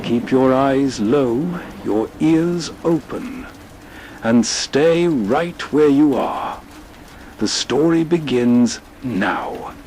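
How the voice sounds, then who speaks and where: A man giving a scripted late-night radio host introduction in a measured, soothing manner. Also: animated. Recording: faint train rumble behind voice. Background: train ambience.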